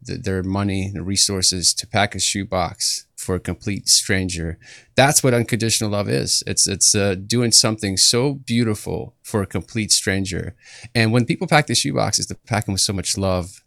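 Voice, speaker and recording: A man's voice: fairly low pitch, vocal fry, fairly bright tone, dry recording, clean studio sound. A young man from Ukraine giving an earnest personal testimony as an interview guest, often repeating words as he searches for them.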